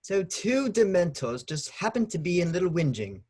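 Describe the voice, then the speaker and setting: An actor playing a pompous, dismissive government minister at a trial, impatient and scoffing in a table read. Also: male voice, sits high, sing-song, normal speed, darkish tone, slightly rough, heavily acted. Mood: scornful. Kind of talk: amateur online table read of a screenplay.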